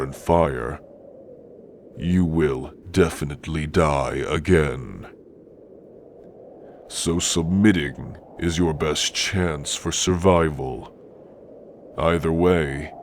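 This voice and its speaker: Hulking orc captor: extremely low voice, slow menacing delivery, archaic phrasing, fantasy ASMR roleplay. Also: male voice, slight background noise, exaggerated voice. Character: hulking male orc warrior, stern, menacing, darkly amused captor.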